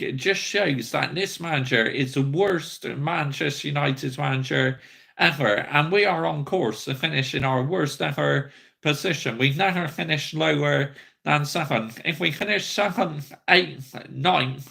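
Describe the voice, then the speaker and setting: A man in his thirties rants bluntly about his football club, swearing freely as he hosts a fan livestream. His voice sits a bit high, bright and forceful, with a gravelly rasp. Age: 30s. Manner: angry, blunt ranting, sweary. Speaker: football fan channel host.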